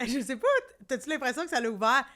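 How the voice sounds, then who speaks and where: A woman's voice: high-pitched, fast, sharp tone. A woman with a Quebec French accent hosting a casual podcast, chatty and encouraging. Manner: chatty and encouraging, lots of agreeing. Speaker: podcast host.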